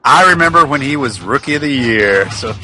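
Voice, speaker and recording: A man's voice: medium pitch, expressive, a little fast, bright, forceful tone, faint music behind, rough recording. A man chatting casually as a co-host on a comedy podcast. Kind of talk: casual chat.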